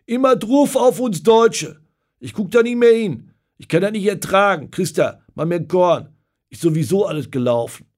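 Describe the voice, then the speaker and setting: A man voicing an outraged middle-aged football fan who rants and shouts at the match. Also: high voice, expressive, normal speed, pitched above the performer's own voice. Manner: shouting, ranting dialect-tinged outbursts.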